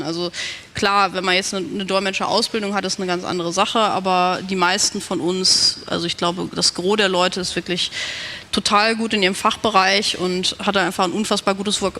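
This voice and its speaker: Man, high-pitched, bright forceful tone, casual discussion, informal explainer, repeated words, faint noise. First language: German. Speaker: volunteer interpreter on a discussion panel.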